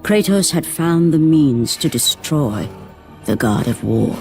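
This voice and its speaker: An actress playing a grave, portentous storyteller, giving scripted dramatic narration for a video game. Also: female voice, sits low, animated, slow, darkish tone, soft background music, full character voice. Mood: solemn. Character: grave, solemn omniscient storyteller of Greek tragedy.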